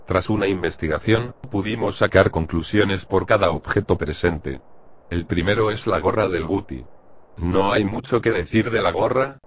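A man's voice playing a methodical older police forensic doctor, explaining formally and in measured phrases in a crime drama. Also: deep voice, a little hiss or hum, natural voice. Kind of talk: scripted machinima crime drama dialogue.

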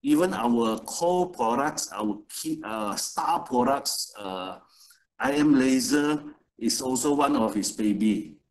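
A man's voice: mid-range voice, animated, slow, rough recording. A man hosting an online session over a video call, introducing the guest speaker with fillers. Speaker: webinar host introducing the speaker. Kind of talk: event introduction.

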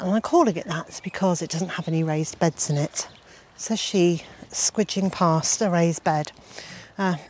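A woman in her sixties giving a casual tour of her vegetable garden, talking outdoors with many "uh" fillers and gentle chuckles. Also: on the low side. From Wales.

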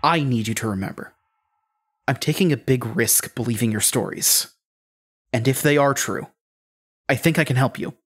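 An actor playing a driven, stern male investigator in an audio drama, with a measured, pressing delivery. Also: medium pitch, fairly bright tone, husky. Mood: urgent, insistent.

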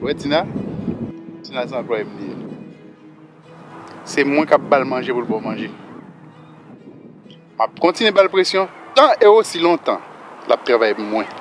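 A man's voice: mid-range voice, animated, moderate pace, pauses often. A middle-aged man, playing a boastful, threatening figure in a staged comedic argument outdoors in a park. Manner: boastful, threatening, warns sternly. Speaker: comic sketch performer. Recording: music bed under the voices.

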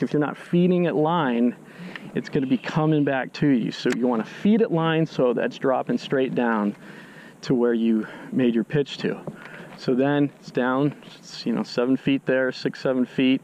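A man's voice: mid-range voice, darkish tone, a little hiss or hum. A man on a fishing boat outdoors, casually explaining his technique step by step, often restarting his sentences. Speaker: fishing channel host. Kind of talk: instructional fishing commentary.

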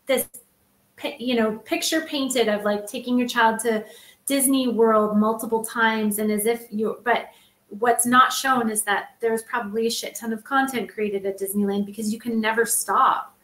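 A woman with a slightly high voice talks earnestly on a panel, stacking up figures and restarting her sentences as she thinks. Her audio carries a roomy echo. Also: steady tone, unhurried, fairly bright tone. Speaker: panel guest, former social seller. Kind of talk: casual panel discussion.

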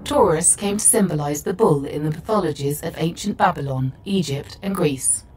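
Female game AI played by a woman, slightly low, instructive and composed, faint noise behind. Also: normal speed, a little mellow, close to an everyday voice, low-quality recording. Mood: composed, informative. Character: female ship's AI, encyclopedic, composed. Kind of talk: scripted encyclopedic explanation.

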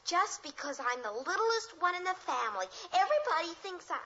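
Piercingly high, bright, pouty American little girl, eager, dull old radio sound. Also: big pitch swings, a little fast, exaggerated voice. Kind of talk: 1950s radio sitcom acting.

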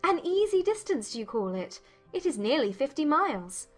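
An actress playing a spirited, self-assured young gentlewoman in a dramatized audiobook, speaking formally and firmly. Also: high voice, big pitch swings, moderate pace, soft background music. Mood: politely challenging. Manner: formal, articulate, firm.

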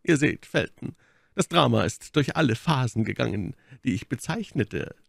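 A man's voice: medium pitch, lively intonation, moderate pace, gravelly, no room sound, clean studio sound, pitched above the performer's own voice. A man playing a mocking, sardonic baron in an audiobook, theatrical and coolly superior in his irony. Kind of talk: dramatic audiobook character acting.

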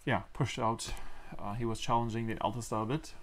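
Hesitant man with a Low German accent. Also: steady tone, a little fast. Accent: Mennonite Low German. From Mexico. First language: Plautdietsch. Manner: hesitant, many fillers and restarts. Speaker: guest. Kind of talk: casual conversational interview. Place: small wood-paneled room indoors.

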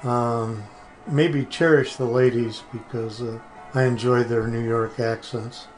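A man's voice: mid-range voice, fairly even intonation, takes it slowly, soft background music, rough recording. A man giving casual, off-the-cuff answers as an interview guest, hesitant and thoughtful.

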